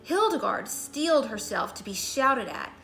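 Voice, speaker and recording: A woman's voice: high-pitched, big pitch swings, a little slow, vocal fry, fairly bright tone, roomy sound, faint music behind. A woman reading a children's storybook aloud in a scripted narration style. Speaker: story reader.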